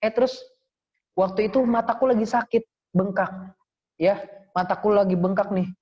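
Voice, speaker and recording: A man's voice: sits high, moderate pace, close to an everyday voice. A man voicing a grown male companion who chattily recounts a day his eye was swollen. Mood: recounting. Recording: dull lo-fi recording.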